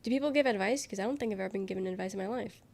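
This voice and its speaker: A young woman talks loosely to her stream audience while she is sick. Her voice is nasal with a gravelly fry underneath, and her speech wanders as she reads chat questions and answers them. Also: mid-range voice, racing, a little mellow. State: sick, congested voice. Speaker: livestreamer. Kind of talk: casual chat with viewers.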